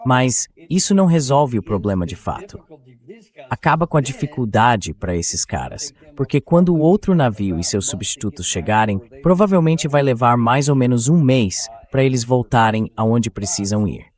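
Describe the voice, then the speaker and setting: A man's voice: mid-range voice, big pitch swings, moderate pace, a little mellow. A man with a Brazilian accent hosting opinionated news commentary in a blunt, sarcastic, colloquial way. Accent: Brazilian Portuguese. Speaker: talk show host and political commentator.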